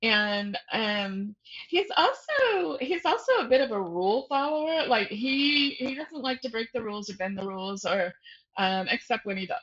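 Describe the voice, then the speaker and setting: A woman's voice: fairly high pitch, expressive, moderate pace, fairly bright tone, slightly rough, muffled recording. A woman speaking as a guest novelist in a casual, informal interview, often breaking off and rephrasing as she thinks aloud.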